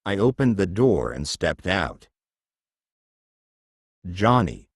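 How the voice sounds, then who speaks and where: Deep-voiced man reading a story slowly. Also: very animated, dry recording, studio quality. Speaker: audiobook narrator of a children's textbook story. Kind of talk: scripted story narration.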